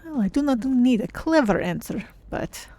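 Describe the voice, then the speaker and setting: A woman voicing a polite, curious female cat-folk adventurer in a game stream, speaking in measured, formal phrases. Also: on the high side, expressive, mellow. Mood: thoughtful. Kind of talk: in-character game playthrough commentary.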